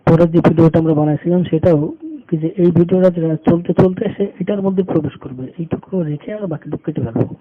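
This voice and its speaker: High-voiced man, thin phone-like sound. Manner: halting, repeats phrases as he works. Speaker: tutorial instructor. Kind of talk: unscripted step-by-step screen tutorial narration. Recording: muffled phone-like audio with background noise.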